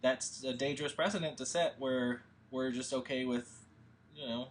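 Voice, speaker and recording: A man hosting a casual livestream, talking in a rambling, halting way as he thinks aloud. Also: medium pitch, steady tone, a little hiss or hum. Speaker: host and filmmaker.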